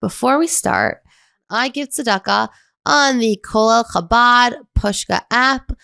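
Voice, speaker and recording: A woman's voice: on the high side, measured pace, creaky, sharp tone, clear, resonant tone, dry recording. An American woman hosting a podcast in a conversational style, recorded in a studio. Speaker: podcast host.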